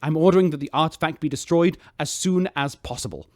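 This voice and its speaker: An actor playing an insecure, people-pleasing starship captain who makes formal announcements in a hesitant, placating way. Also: male voice, a little high, fast, darkish tone, dry recording, clean studio sound, natural voice. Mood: nervous, placating. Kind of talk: sci-fi audio drama acting.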